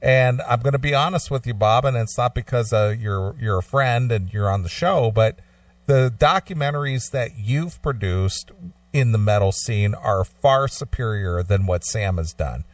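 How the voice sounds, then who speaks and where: A man hosting a casual interview, talking deliberately with pauses mid-sentence. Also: mid-range voice, no echo, recorded from a distance. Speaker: radio show host, interviewer.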